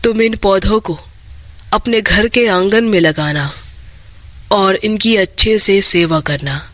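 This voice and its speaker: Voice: mid-range voice, takes it slowly, a bit bright, dry recording, voice pushed down. A storyteller voicing a kindly, solemn old man who guards a magical tree. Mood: benevolent.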